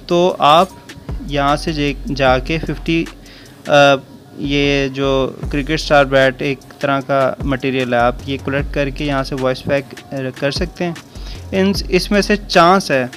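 A man with a slightly high voice talks viewers through a mobile game in a casual explainer style. He is conversational and addresses the audience directly. Music plays behind his voice. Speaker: gaming video creator.

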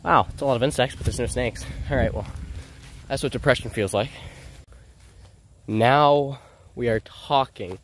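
A man's voice: medium pitch, moderate pace, pauses often, slight background noise. A young man with a congested, stuffy voice, chatting casually as a vlogger while searching outdoors in the woods, recorded on a head-mounted action camera. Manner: chatty, excited, self-narrating while searching.

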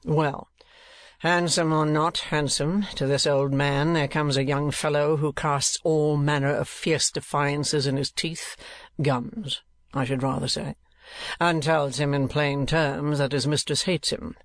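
A man's voice: on the high side, little pitch movement, fairly bright tone, no echo. Man playing a dry, sneering moneylender. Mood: sardonic. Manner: dry, contemptuous, deliberate mockery. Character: cold, sneering, sardonic male moneylender.

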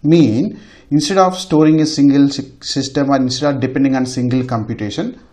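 A man's voice: fairly high pitch, a little mellow. A man giving an informal technical lecture as a tutorial instructor, often repeating his phrases for emphasis. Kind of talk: informal technical lecture over a screen demonstration.

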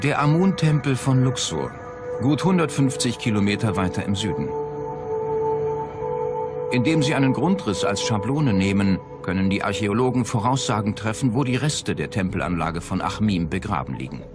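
Low-voiced man narrating over prominent music. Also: big pitch swings, normal speed. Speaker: documentary narrator. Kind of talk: scripted narration. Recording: loud music bed behind the voice. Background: background music.

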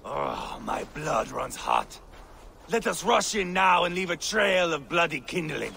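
An actor playing a fierce, boastful Viking warlord who relishes violence in a video game. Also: male voice, on the high side, big pitch swings, a little slow, gravelly, sharp tone, slight background noise, heavily acted. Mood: eager. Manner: bold, bloodthirsty, mocking bravado. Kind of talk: video game cutscene acting.

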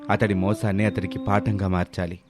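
A man's voice: deep voice, flat delivery, brisk, lightly acted. A man playing a clever, observant young farmer, reasoning to himself in a storybook tale. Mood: thoughtful. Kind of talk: scripted story monologue. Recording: background music behind the voice.

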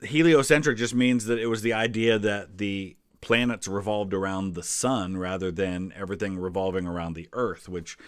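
A man's voice: lowish voice, fairly even intonation, normal speed, hardly any pauses, clear, resonant tone, no room sound, studio quality. A middle-aged American man giving casual history commentary, chatty and inclined to explain things with little digressions. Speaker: history video host.

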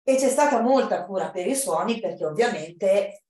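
Woman, medium pitch, seminar host, reflective and hesitant, roomy echo. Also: expressive, moderate pace, darkish tone. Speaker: lecturer hosting a guest. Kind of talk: informal, reflective seminar commentary. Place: classroom, indoors. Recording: echoey classroom sound.

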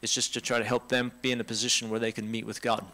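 A middle-aged man with a bright, clear voice runs a panel in a church hall. He talks into a handheld mic in a relaxed, joking way. The tone stays casual and easy. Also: medium pitch, quick pace. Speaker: team leader hosting a panel. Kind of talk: casual church talk to a congregation. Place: church hall with a seated audience. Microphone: handheld microphone.

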